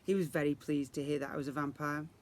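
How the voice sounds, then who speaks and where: A man reading a children's story aloud as a teacher would, in an expressive narrating style. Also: on the high side, fast.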